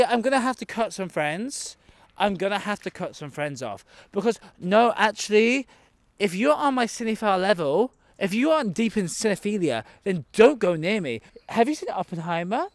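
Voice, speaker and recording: A man's voice: sits high, no room sound. A man, sassy and dramatic, vlogging at an outdoor zoo into a phone held close. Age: 20s. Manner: sassy, dramatic, talks to animals and camera. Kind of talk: camp comedic vlog monologue. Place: outdoor zoo, among animal enclosures. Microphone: handheld phone held close.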